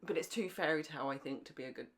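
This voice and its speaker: A woman's voice: low-pitched, racing. A young woman co-hosting a podcast, chatting casually in an enthusiastic, talkative way. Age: young adult. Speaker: podcast co-host. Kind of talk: casual fan podcast chat.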